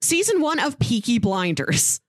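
A woman podcast co-host with a fairly high, very animated voice. She chats casually and pitches ideas in a wry, playful way. The sound is dry and close. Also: unhurried, no room sound.

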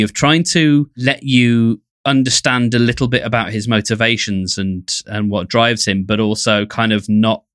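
A man co-hosting a podcast in casual chat, with halting, hesitant phrasing. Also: fairly low pitch, a bit bright, dry recording, studio quality. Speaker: podcast co-host.

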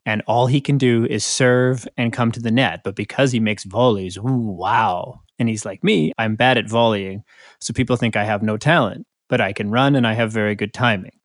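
A man's voice: on the low side, moderate pace, no echo, clean studio sound. A man co-hosting a podcast, talking through sports in a casual, analytical chat. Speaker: podcast co-host.

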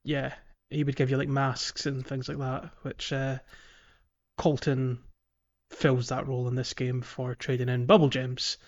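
A man's voice: medium pitch, completely flat delivery, unhurried, highs cut off. A man hosting a gaming podcast in casual conversation, explaining things in a hesitant, rambling way. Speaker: podcast host.

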